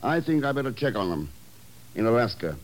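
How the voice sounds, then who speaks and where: Cool, measured secret agent played by a man. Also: medium pitch, very animated, faint music behind, lightly acted. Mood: measured, probing. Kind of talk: 1950s radio drama acting. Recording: slightly noisy 1950s radio recording.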